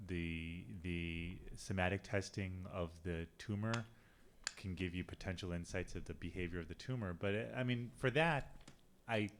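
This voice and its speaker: A man speaking as a urologist on a medical panel, talking haltingly with many restarts. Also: low voice, a little hiss or hum. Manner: hesitant, many restarts and fillers. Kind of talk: panel discussion.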